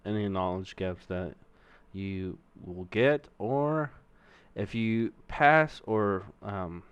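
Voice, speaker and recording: A man's voice: on the low side, takes it slowly, clear, resonant tone, no echo. A young man with a Mexican-American accent, casually swearing and thinking aloud as he works through coding exercises on a stream. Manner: profane, rambling, thinks aloud while typing. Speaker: streamer learning to code.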